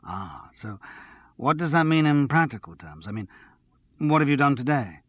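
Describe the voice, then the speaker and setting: A man's voice: mid-range voice, sing-song, normal speed, a bit bright, no room sound, highs cut off, voice pushed up. A man reading an audiobook, voicing a polite, reserved man who asks questions with slight hesitation. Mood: curious.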